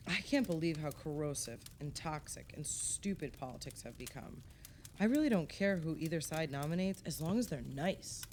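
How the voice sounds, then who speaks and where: An actress playing an affectionate, mildly skeptical woman, gentle and reflective, in conversational radio play dialogue. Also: deep voice, expressive, moderate pace, a little hiss or hum.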